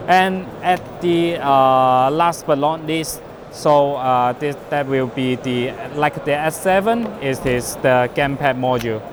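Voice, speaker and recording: A man who is not a native English speaker gives an unscripted product demonstration as a company representative, speaking haltingly with broken phrasing. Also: on the high side. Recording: noisy trade show floor.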